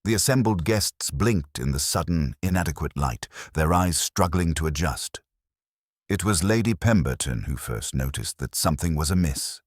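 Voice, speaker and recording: A man reading a scripted mystery story as an audiobook narrator, in a measured, literary and dramatic storytelling manner. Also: very deep voice, expressive, no room sound, clean studio sound.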